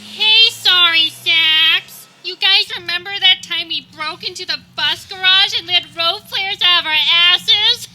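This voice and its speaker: Woman playing a very high, bright, awkward teenage boy. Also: measured pace, few pauses, heavily acted, rough recording. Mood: clueless. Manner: meek, literal-minded, over-apologetic. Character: awkward, apologetic, irritating tagalong teenage boy. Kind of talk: live comedy radio theater. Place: backyard, before a live audience. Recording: live outdoor recording, faint background noise.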